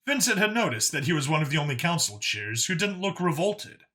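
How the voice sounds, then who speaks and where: A man's voice: a little high, big pitch swings, fairly quick, sharp tone. A man reading scripted story narration as an audiobook narrator.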